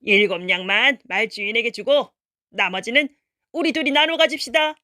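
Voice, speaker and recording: A woman's voice: a little high, expressive, normal speed, pushed and bright, no echo, clean studio sound. A woman voicing a sly, scheming male horse merchant in a storybook tale, emphatic and coaxing.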